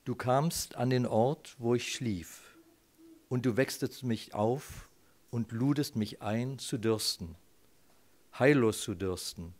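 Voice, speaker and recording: A man recites poetry at a very slow, measured pace. His pitch is average for a man, and he reads as at a recital. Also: darkish tone. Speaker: poetry reader.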